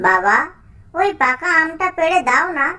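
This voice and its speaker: A woman's voice: very high-pitched, sing-song, normal speed, bright, forceful tone, far from the mic, full character voice. A woman voicing a small, eager village boy with a childlike, pleading manner in a children's cartoon. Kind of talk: children's moral cartoon dialogue.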